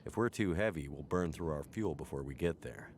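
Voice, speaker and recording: An actor playing a bush pilot in a video game, matter-of-fact with a dry, wry manner. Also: male voice, very deep voice, moderate pace, a little hiss or hum. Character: gruff bush pilot man, dry humor. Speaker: game character. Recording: game audio with loud music.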